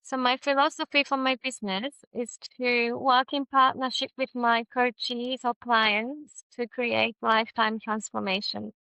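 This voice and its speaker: A woman's voice: fairly high pitch, little pitch movement, measured pace, vocal fry, hardly any pauses, sharp tone, clear, resonant tone, no echo, low-quality recording. A woman from Japan who speaks English as a second language, a leadership coach chatting casually and laughing easily. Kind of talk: casual conversation.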